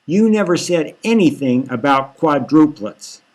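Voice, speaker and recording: A man's voice: fairly high pitch, animated, unhurried. A man voicing a blunt, complaining beauty-queen surrogate mother in a satirical audiobook, incredulous and challenging. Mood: indignant. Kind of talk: satirical audiobook character reading.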